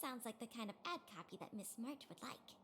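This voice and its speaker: A woman voicing a courteous, eager young hotel greeter in a video game, with a polished sales-pitch delivery. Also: expressive, fairly quick, a bit bright, slight background noise. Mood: welcoming. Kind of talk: video game dialogue acting.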